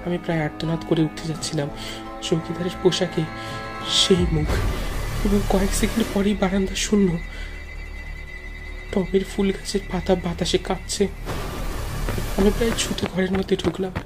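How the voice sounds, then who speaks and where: A man's voice: high-pitched, steady tone, moderate pace, long pauses. A man narrating a ghost story dramatically in the first person, as a storyteller. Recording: music behind the voice.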